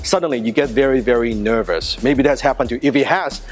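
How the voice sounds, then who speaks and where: A man's voice: mid-range voice, quick pace, faint music behind. A man teaching a martial arts lesson, telling a personal story and coaching a drill in an earnest, conversational way with frequent restarts. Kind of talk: teaching a self-defense lesson.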